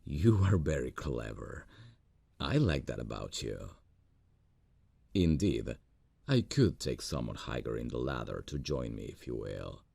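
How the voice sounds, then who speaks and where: Earnest lovesick boss, played by a man: husky, dry close-mic sound, lively pitch. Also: no echo, exaggerated voice. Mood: tender. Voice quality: deep gravelly voice. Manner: soft, intimate, slow and earnest. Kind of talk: ASMR roleplay audio.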